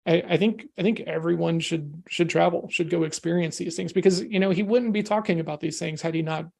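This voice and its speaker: A man's voice: on the high side, steady tone, fairly quick, gravelly, a little mellow. A man from the United States, a podcast guest talking casually and energetically, often adding "right?" and "you know". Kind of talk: casual discussion.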